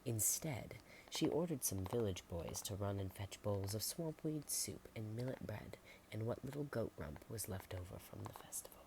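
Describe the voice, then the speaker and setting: A woman reading a storybook in a soft whisper, adding chatty asides and sometimes stumbling over words. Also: rumbling low, poor audio.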